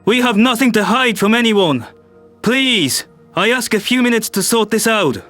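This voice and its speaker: A man's voice: high-pitched, expressive, moderate pace, fairly bright tone, faint music behind. A man playing a harried human world leader, tense and exasperated.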